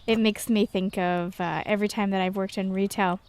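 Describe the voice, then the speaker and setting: An American woman with a medium-pitched voice hosts a gaming video. She talks in a casual, chatty way, wandering through personal stories and poking fun at herself as she goes. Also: steady tone, a little fast, a little mellow, a little hiss or hum. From Texas, United States. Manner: rambling, self-deprecating storytelling. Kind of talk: casual chatty gameplay commentary.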